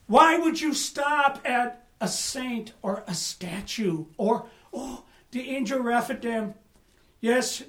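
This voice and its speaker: A pastor teaching a Bible study in a conversational way, often asking the room "right?" and "amen?", with bursts of emphasis. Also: medium pitch.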